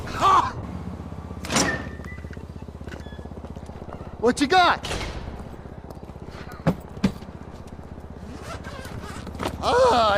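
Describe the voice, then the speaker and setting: A man's voice: very high voice, background noise, heavily acted. An actor voicing a jittery, rambling male drug-dealer accomplice who wisecracks nervously in a game cutscene. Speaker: nervous accomplice in a crime crew.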